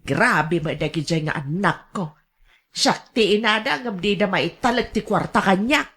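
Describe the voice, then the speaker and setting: A woman's voice: medium pitch, expressive, measured pace, fairly bright tone, husky, exaggerated voice. A woman with a Filipino accent playing a brash, scolding older mother who gambles, in Ilocano radio drama style. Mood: pleading.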